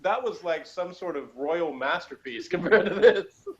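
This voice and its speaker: A man's voice: on the high side, lively intonation, a little slow. A man chatting casually as a review panellist, mocking and exasperated, given to hyperbole. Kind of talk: casual comedic review chat. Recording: dull lo-fi audio.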